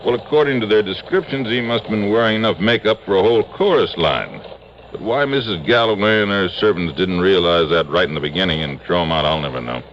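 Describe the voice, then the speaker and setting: A worldly police lieutenant in a vintage radio play, dry and matter-of-fact. His voice is very deep yet moves around a lot. The broadcast sound is dull with a faint hiss. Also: expressive, quick pace. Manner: dry, matter-of-fact, calls people darling. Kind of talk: 1950s radio drama acting.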